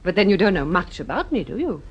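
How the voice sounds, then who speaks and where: An actress with an English accent playing a poised, teasing woman in love in an old-fashioned radio drama, with a coquettish, brisk manner. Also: medium pitch, very animated, slightly rough, highs cut off. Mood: playfully teasing. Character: poised, teasing woman luring her adoring lover along.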